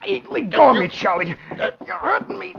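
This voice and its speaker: An actor playing an insistent, nervy gangster's lieutenant in an old radio crime drama, talking in tough underworld slang. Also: male voice, very high voice, very animated, normal speed, vocal fry, background noise, exaggerated voice. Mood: pained, pleading. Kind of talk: 1940s radio crime acting. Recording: dull vintage radio recording.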